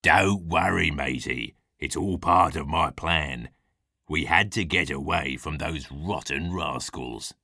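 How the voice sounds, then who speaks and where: A hearty pirate captain from a children's storybook, very low and forceful. He talks in a salty West Country pirate burr, theatrical and growly, and takes his time over the words. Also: slow, gravelly, breathy edge, dry recording, clean studio sound, full character voice. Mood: reassuring, sly. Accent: stage West Country pirate. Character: gruff hearty old-salt pirate captain, a scheming grown man. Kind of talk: acted children's storybook dialogue.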